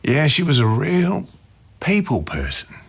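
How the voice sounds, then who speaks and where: A man playing a fond, rambling father, halting and agreeable in a drama interview. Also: fairly high pitch, huge pitch swings, creaky, fairly bright tone, slightly rough, full character voice. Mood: fond reminiscing. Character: fond, rambling father of missing young woman.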